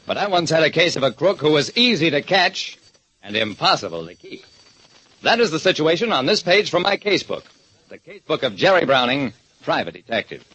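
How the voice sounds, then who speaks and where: An actor playing a private detective who narrates in a dry, deliberate way in a radio drama. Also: male voice, a little high, big pitch swings, pushed and bright, slightly rough, full character voice. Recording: dull lo-fi old radio broadcast.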